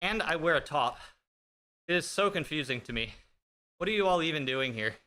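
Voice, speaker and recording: Thirties male streamer, a bit high and bright, joking casually with chat over a desk mic. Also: lively intonation, moderate pace. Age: 30s. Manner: joking, self-deprecating, chatty; talks while riding an indoor bike. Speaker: fitness streamer. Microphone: streaming desk mic with noise gate.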